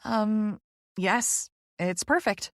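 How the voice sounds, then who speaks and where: A young woman doctor, voiced by a female narrator answers in a polite, guarded way. Her manner is hesitant and reserved, in a medium-pitched audiobook voice with a dry, close sound. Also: drawn-out words, no echo. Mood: polite, uneasy. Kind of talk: audiobook character voice.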